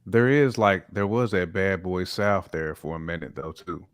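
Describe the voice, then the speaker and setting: A man's voice: low voice, normal speed, clear, resonant tone, dry recording. A middle-aged American man chatting informally and in slang as a panelist in a hip hop discussion. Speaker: talk show panelist.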